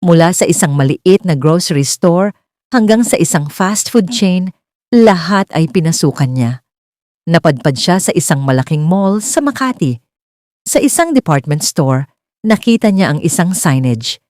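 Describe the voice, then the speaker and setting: A woman's voice: lowish voice, lively intonation, moderate pace, darkish tone, dry recording, clean studio sound. A woman narrating a scripted dramatic romance story.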